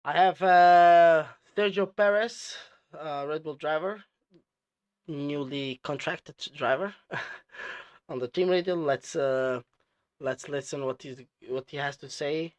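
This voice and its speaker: A man who is a non-native English speaker hosting casual commentary, explaining things in a halting, repetitive way. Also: fairly high pitch, smooth, dry recording. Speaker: host reviewing team radio clips.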